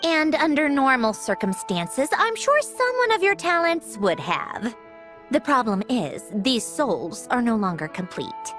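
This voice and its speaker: Haughty, animated young woman scientist. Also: on the high side, a little slow. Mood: brisk, matter-of-fact. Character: self-assured, haughty young woman scientist, brisk and superior. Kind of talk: scripted video game character dialogue. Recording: game music behind the voice.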